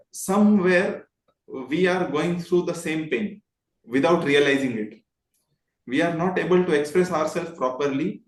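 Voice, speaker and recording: Indian guy, kinda high voice, earnest motivational talk, repeats stuff for emphasis, echoey room. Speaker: voice trainer, interview guest. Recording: roomy, echoing sound.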